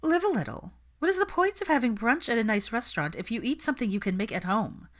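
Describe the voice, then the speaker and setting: Woman putting on a snobbish, high-pitched condescending young woman. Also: animated, quick pace, lightly acted. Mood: haughty, scornful. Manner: condescending, matter-of-fact, tactless. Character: snobbish, rude, opinionated young woman at brunch.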